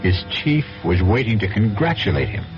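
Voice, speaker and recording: A male host narrates a radio drama from a script in a deep voice. Music plays clearly behind him, and the old recording is dull. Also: expressive, normal speed.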